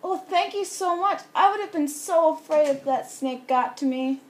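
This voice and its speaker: A woman's voice: normal speed, lightly acted. A woman voicing a shy, anxious small male animal in a storybook folktale, with emotional delivery. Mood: relieved, grateful. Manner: high, relieved, emotional.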